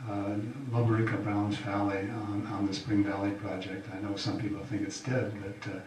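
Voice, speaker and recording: An older man gives a folksy campaign speech, pausing often on fillers. His voice sits low and comes from a distance. The hall is very echoey, with a faint hiss behind it. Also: monotone, a little mellow. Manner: halting, folksy, many fillers. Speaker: incumbent county supervisor.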